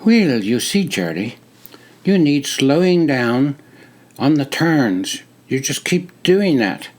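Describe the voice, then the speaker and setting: A man voicing a friendly young male army recruit who talks in a crisp, British-sounding, courteous way. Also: medium pitch, big pitch swings, slow pace, a little mellow, voice pushed up. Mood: genial.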